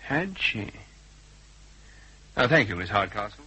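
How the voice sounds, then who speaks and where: An actor playing a calm, shrewd male detective in a classic radio drama, explaining things in a measured, authoritative way. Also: fairly low pitch, breathy edge, full character voice, poor audio. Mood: probing. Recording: old radio drama recording.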